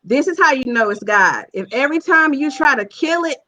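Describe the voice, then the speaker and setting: A woman with a fairly high voice speaks as a panel guest. She gives earnest advice in a conversational way, repeating phrases to drive them home. The sound is a bit dull and lo-fi. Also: lively intonation, a little fast, sharp tone. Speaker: guest, stage play writer-director.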